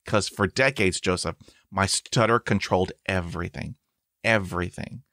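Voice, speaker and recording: A man's voice: sits low, moderate pace, bright, forceful tone, no room sound, studio quality. A man in his forties who stutters, hosting a podcast in a casual, emphatic interview chat. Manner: stutters, with repeated sounds and fillers; emphatic, self-affirming. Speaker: podcast host.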